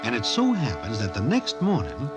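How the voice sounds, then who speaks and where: An American actor narrating 1940s radio drama as a wry Runyonesque storyteller, in present tense and mock-formal slang. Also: male voice, medium pitch, wildly swooping pitch, moderate pace, loud music behind the voice. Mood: wry, matter-of-fact. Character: colloquial, wry Runyonesque storyteller of racetrack tales.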